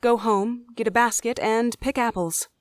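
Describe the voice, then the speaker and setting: High-voiced young druid woman, played by an actress, eager and oblivious, fantasy audio drama, dry close sound. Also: fairly even intonation, normal speed, no echo, natural voice. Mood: breezy.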